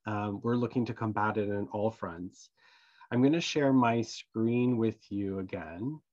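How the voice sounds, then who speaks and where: A man's voice: on the low side, measured pace, a little mellow. A man hosting an online town hall with prepared remarks, speaking over a video call. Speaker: meeting host. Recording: dull, dry online call audio.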